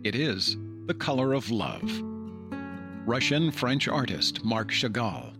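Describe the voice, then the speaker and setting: A man narrating as host in a scripted storytelling style, with dramatic, deliberate phrasing. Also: medium pitch. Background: plucked guitar music.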